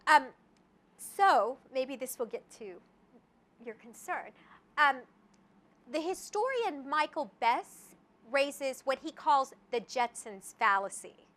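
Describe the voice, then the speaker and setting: A woman with a high, bright voice gives an academic lecture at a mic. She talks in a lively way, dropping in asides and checking in with the audience with "right?". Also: takes it slowly. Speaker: guest lecturer. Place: lecture hall. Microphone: handheld or podium mic.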